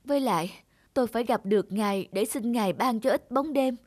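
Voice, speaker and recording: A woman's voice: a little high, a little fast, a little mellow, dry recording, clean studio sound, natural voice. A woman playing a selfless, worn-out peasant mother in a Vietnamese cartoon fairy tale, pleading and tender.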